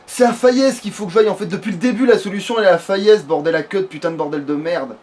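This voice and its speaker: A man's voice: high-pitched, quick pace, darkish tone. A man doing casual, rambling let's play commentary with a friend, swearing crudely as he goes. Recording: background noise.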